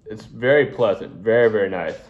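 A man's voice: on the low side, brisk, phone-quality sound. A man, casually reviewing coffee in his home kitchen and rambling as he works, recorded on a phone camera. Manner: rambling, restarts often, talks while working.

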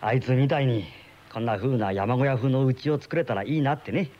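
A man's voice: mid-range voice, brisk, natural voice. An actor playing a pensive man in his thirties with a secret obsession, in a radio drama. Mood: evasive. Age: 30s. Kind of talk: radio drama acting with first-person narration. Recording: dull lo-fi old radio recording, music behind.

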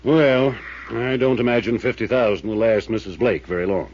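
An actor playing a weary, earnest small-town male mayor in a 1950s radio drama, careful and measured in speech. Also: fairly low pitch, a little mellow. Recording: dull old radio recording, dry.

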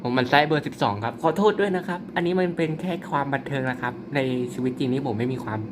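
A man's voice: medium pitch, rapid-fire, noisy background. A man giving casual commentary on his mobile game, playful and jokey, tossing out mock-polite apologies and frequent exclamations. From Thailand. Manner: exclaims and laughs often. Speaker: mobile game player and channel host.

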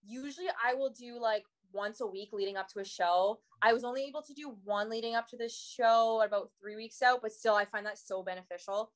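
Young Canadian woman guest, chatty and upbeat, a bit higher voice, video-call sound. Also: a little fast, few pauses. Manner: upbeat, chatty, many likes and fillers. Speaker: fitness competitor guest. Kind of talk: casual interview chat. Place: home room indoors.

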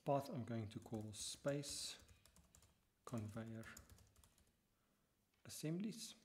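A man talking through a software tutorial step by step as he works, muttering some lines to himself, with keyboard typing behind him. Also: medium pitch, lively intonation, drawn-out words, a little hiss or hum. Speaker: lecturer.

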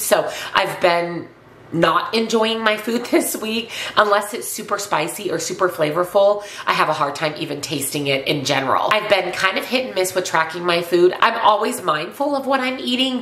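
Woman with a head cold, stuffy nose, low voice, chatty vlog, echoey home room. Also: a bit bright. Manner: chatty and upbeat, repeats herself. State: congested with a cold. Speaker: weight loss vlogger. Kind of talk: casual vlog to camera.

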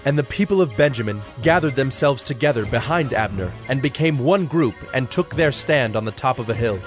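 A man narrating a dramatized audio Bible in a measured, solemn storytelling style. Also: medium pitch, animated. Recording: faint music behind narration.

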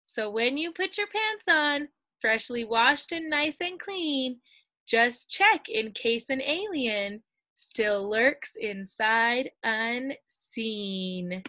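A middle-aged woman reading a picture book aloud to young children like a teacher, expressive and sing-song, drawing out the ends of lines. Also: a little high, dry recording. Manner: expressive, sing-song storytelling with drawn-out line endings. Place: indoors at a computer, screen-share video.

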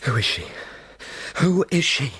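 An actor playing a polite, unnerved younger English solicitor in a radio play, speaking in a formal, dramatic way. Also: male voice, a little high, sing-song, unhurried, heavy background noise. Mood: alarmed, pleading. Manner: well-mannered, formal, dramatic.

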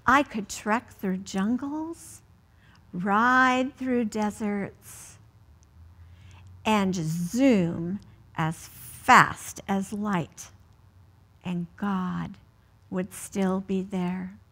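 An American woman in her sixties speaking to children as a church story time host, in deliberate phrases with pauses. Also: mid-range voice, a bit bright. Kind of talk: read-aloud storytelling and prayer for children. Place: indoors, seated in a church.

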